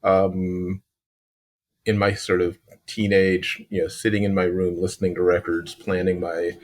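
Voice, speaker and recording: A man in his sixties from Chicago with a Chicago accent, a podcast guest telling his story in an unhurried way and often pausing to find words. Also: low-pitched, flat delivery. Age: 60s. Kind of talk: relaxed interview storytelling.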